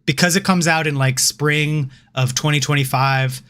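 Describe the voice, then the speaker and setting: A man co-hosting a casual podcast chat, opinionated and animated, swearing freely and tripping over his restarts. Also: on the high side, moderate pace. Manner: animated, opinionated, swears freely, repeats words when starting. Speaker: podcast co-host.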